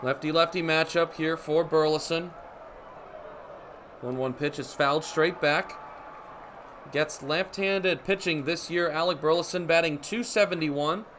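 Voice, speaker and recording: A young man calling baseball play-by-play for radio in crisp, descriptive phrases, as live sports commentary. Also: fairly high pitch, slight background noise. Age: young adult. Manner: crisp, descriptive phrases with excited calls on big plays. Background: ballpark ambience.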